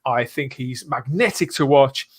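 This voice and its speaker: A man hosting a live fan podcast, speaking in an earnest, emphatic way with deliberate phrasing. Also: mid-range voice, slightly rough. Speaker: podcast host.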